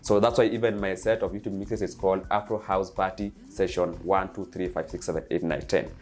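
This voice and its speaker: A young Kenyan man with a Kenyan accent and Kikuyu as his first language talks eagerly in a casual vlog, stacking repeated lists and filling pauses with "uh". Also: low-pitched, fairly even intonation, a little mellow. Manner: eager, repetitive lists, frequent uh fillers. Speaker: DJ and channel host.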